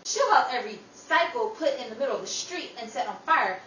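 A woman's voice: on the high side, fairly quick. A woman playing a wry, wisecracking eldest sister who keeps the peace in an old-time radio drama. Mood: exclaiming. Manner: animated, wisecracking. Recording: roomy, distant mic, faint noise.

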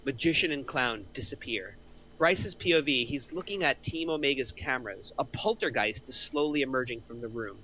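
A man's voice: medium pitch, expressive, moderate pace, noisy background. A middle-aged man reading out screenplay action lines as a narrator. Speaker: narrator of a script table read.

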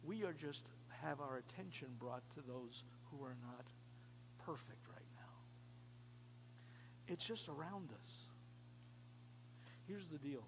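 An American man in his fifties from New York, a pastor giving an earnest, emphatic sermon with repeated phrases and pauses. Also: fairly high pitch, lively intonation. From New York, United States. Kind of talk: sermon to a small congregation. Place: indoors, cafeteria used as a church hall. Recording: clearly noisy room recording with hum.

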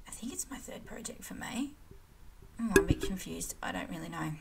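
A woman, croaky from losing her voice, chatting casually through a scrapbooking voiceover with lots of ums. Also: lowish voice, expressive, fairly quick, in a whisper. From Australia. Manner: chatty, rambling, self-deprecating, many ums. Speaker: kit club design team crafter. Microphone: voiceover recorded separately from hands-on video.

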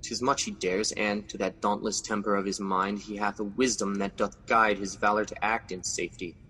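A man playing King Macbeth, low and regal. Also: level tone, sharp tone, big-room sound, slight background noise. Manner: regal, measured verse delivery. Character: Macbeth, newly crowned ambitious king. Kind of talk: amateur radio drama acting.

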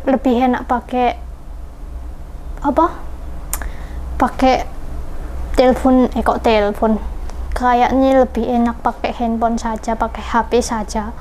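A young woman chatting casually to viewers in a halting, self-correcting way, often asking them questions. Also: fairly high pitch, darkish tone. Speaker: vlogger. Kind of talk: casual vlog chat, testing a microphone. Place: indoors, hard-walled rooms at home. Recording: echoey room, distant mic, clear background noise.

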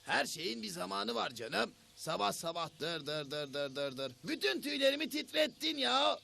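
Grumpy, touchy cartoon bird: extremely high, heavily gravelled, indignant and theatrical. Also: male voice, big pitch swings, moderate pace, sharp tone, a little hiss or hum, full character voice. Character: grumpy, touchy bird who complains about noise. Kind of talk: children's cartoon voice acting.

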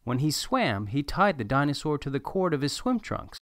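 A man narrating a children's picture book aloud as a read-aloud host, in an animated, expressive storytelling manner. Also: a little high, moderate pace, no echo, clean studio sound.

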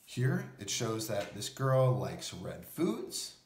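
Man, storybook reader, very varied intonation, unhurried pace, dry close sound, explanatory. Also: mid-range voice, sing-song, echoey room, slight background noise. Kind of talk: children's picture book read-aloud.

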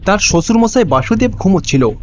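A man's voice: high-pitched, sing-song, fast. A man reading scripted storybook narration for a cartoon. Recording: dull lo-fi sound with music. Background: background music.

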